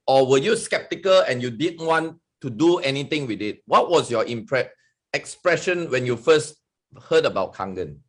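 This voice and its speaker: A man's voice: on the high side, a bit bright, slightly rough. A man hosting a conversational interview, asking his guest questions. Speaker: interviewer, host.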